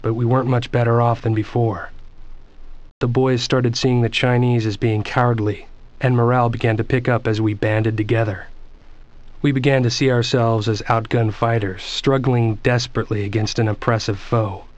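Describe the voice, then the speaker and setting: Actor as a weary soldier narrator, dull lo-fi sound. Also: male voice, medium pitch, little pitch movement, normal speed, close to an everyday voice. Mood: somber, reflective. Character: weary soldier narrating his platoon's war in retrospect. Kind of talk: scripted cutscene narration. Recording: dull lo-fi game audio.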